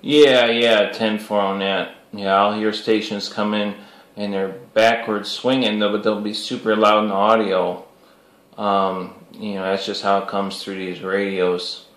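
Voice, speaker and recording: A man's voice: on the low side, level tone, measured pace, a bit bright. A man chatting casually on CB radio in an informal, slangy way. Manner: informal, peppers talk with "you know" and CB slang. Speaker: CB radio hobbyist recording the video. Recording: echoey room.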